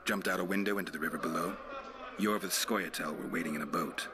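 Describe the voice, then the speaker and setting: Man playing a very deep, stoic monster hunter. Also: fast, noisy background, natural voice. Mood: matter-of-fact. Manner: terse, deadpan. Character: stoic, dry, world-weary male monster hunter. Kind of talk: video game cutscene acting.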